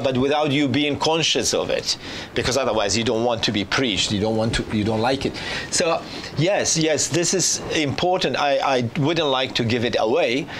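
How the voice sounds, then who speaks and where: A man's voice: medium pitch, a little hiss or hum. A man in his fifties, a filmmaker guest, answering students' questions and explaining at length with many restarts. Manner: animated, gesturing, explaining with restarts. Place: small studio set with sofa. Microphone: lapel.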